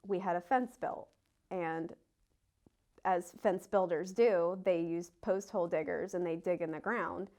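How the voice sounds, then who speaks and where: A woman's voice: fairly low pitch, steady tone, unhurried, a little mellow. A woman telling stories casually in an interview, colloquial and acting out what people said. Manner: colloquial, acts out quotes. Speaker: fossil hunter.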